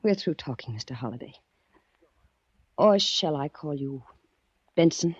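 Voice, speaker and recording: A woman's voice: low-pitched, animated, a little slow, darkish tone, slightly rough, dry recording, exaggerated voice. An actress playing a cold, vengeful young woman in a 1940s radio drama, clipped and accusing. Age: young adult. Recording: muffled vintage 1940s radio recording.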